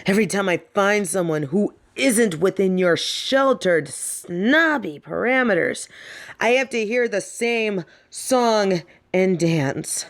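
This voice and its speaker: A woman plays a defiant young heiress in an audio drama, fed up with her snobby family. She speaks slowly at a medium pitch for a woman, with sardonic, sweary emphasis on her words. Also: lively intonation. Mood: exasperated, mocking. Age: young adult. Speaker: audio roleplay performer. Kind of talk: scripted dramatic audio roleplay.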